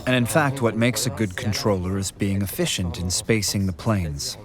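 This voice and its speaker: A man with a low-pitched voice delivers a documentary interview voice-over. He explains in the first person, with music clearly playing behind him. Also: moderate pace.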